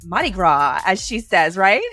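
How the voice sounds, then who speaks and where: A woman's voice: medium pitch, animated, unhurried, pushed and bright, faint music behind. Upbeat sing-song woman podcast host. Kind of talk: casual podcast chat.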